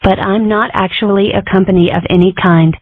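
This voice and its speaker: A woman's voice: medium pitch, fairly even intonation, a little fast, no echo, lightly acted. Woman as young fox girl, cartoon comic dub, sardonic, dry delivery, close dead room, phone-like sound. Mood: wry. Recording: muffled narrow-band lo-fi audio.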